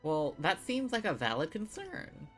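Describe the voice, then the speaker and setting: A woman's voice: lowish voice, huge pitch swings, measured pace, faint music behind. A woman giving casual live commentary as a game streamer, playful and reactive.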